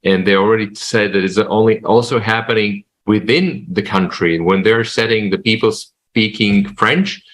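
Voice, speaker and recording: A man speaking as a guest in an online panel discussion, agreeable, with halting restarts. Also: fairly low pitch, fairly bright tone.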